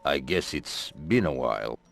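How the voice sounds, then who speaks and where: An actor playing a bloodthirsty gate guard in a video game, politely hesitant and deadpan in his menace. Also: extremely low pitch, moderate pace, vocal fry, exaggerated voice. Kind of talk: video game cutscene acting.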